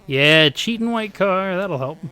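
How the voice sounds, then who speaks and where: Upbeat male streamer around 40, voice a touch hoarse and pitched on the high side; live gameplay chatter. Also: animated, a little slow, pushed and bright. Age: 40s. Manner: upbeat, chatty, thanks viewers while concentrating. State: slightly hoarse. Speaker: speedrun streamer.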